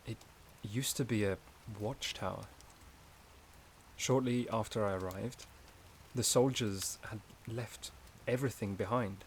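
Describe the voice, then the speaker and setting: A man playing a gentle, shy, caring forest companion in a soft-spoken ASMR roleplay, with a hesitant, slightly stammering delivery. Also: on the low side, a little slow, a little hiss or hum. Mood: soothing, cozy.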